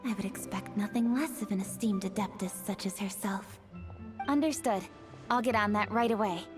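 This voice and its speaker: A woman's voice: medium pitch, moderate pace, music in the background, natural voice. A woman playing a poised, respectful young female official in a video game, speaking politely and with formal assurance. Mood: respectful, assured.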